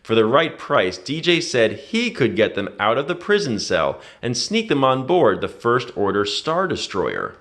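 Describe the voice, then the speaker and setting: A man reading a children's adventure story aloud in an expressive, dramatic storytelling manner. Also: medium pitch, measured pace, hardly any pauses. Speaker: read-aloud narrator.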